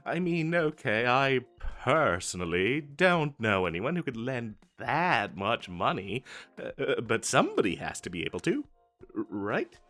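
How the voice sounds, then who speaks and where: A man's voice: mid-range voice, very animated, measured pace, fairly bright tone, faint music behind. A man playing an eager, pushy wizard salesman in a comedic fantasy roleplay, chatty and stammering through his sales patter. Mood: coaxing, nervous.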